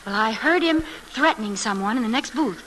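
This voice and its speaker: A slightly noisy vintage radio recording features an eager young wife. Her high, bright voice is animated and theatrical, full of curiosity and quick alarm in the 1940s mystery-comedy manner. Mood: intrigued, anxious.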